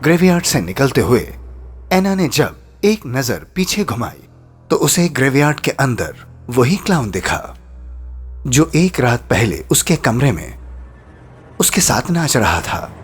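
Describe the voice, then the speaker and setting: A male narrator tells a scripted horror tale with suspense. His medium-pitched voice moves expressively up and down. Faint music plays behind him. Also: sing-song, a little slow, husky. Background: background music.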